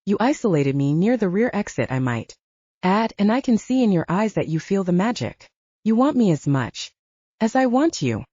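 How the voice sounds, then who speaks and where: A woman narrator performs a brazen werewolf temptress from a romance audiobook. She is sultry, taunting and defiant, with lively intonation in a dry recording. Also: medium pitch, moderate pace, no room sound, lo-fi sound. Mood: seductive taunting.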